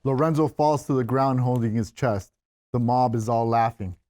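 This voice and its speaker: Male narrator, medium pitch, screenplay stage directions, table read narration. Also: moderate pace, darkish tone, no room sound, clean studio sound.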